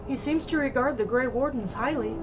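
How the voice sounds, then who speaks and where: A woman's voice: high-pitched, brisk, music in the background, natural voice. A woman voicing a young elf recruit in a fantasy game, wary and forthright. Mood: questioning. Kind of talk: fan-voiced fantasy game dialogue.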